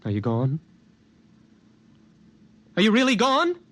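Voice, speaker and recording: A man's voice: high-pitched, wildly swooping pitch, slow pace, a bit bright, heavily acted. A man acting a frantic, haunted young man in a 1950s radio drama, agitated and theatrical. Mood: panicked. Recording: old radio drama, music underneath.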